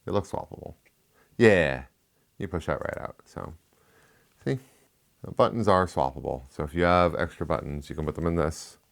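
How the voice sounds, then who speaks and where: A man's voice: low voice, moderate pace, creaky, long pauses. A man with a lisp hosting a casual product review, chatty and rambling with frequent asides. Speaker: tech reviewer, channel host.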